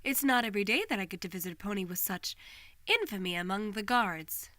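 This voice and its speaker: A woman plays a regal pony princess in a voiced story. Her voice is bright and crisp in a dry recording. She speaks formally, with measured, composed authority. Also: mid-range voice, expressive, no room sound. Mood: politely amused. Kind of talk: voiced fan fiction reading.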